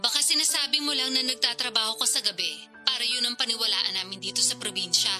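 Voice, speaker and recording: A nagging older sister in a radio drama. Her voice is high and piercingly bright, full of sarcasm and pushy needling. Clear music plays behind her. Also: fast talker. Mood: sarcastic, teasing.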